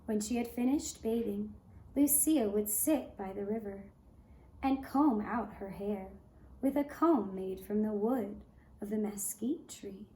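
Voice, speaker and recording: A woman narrating a folk tale as a puppet show storyteller, with measured, deliberate phrasing. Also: mid-range voice, warm tone. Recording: roomy echo, faint background noise.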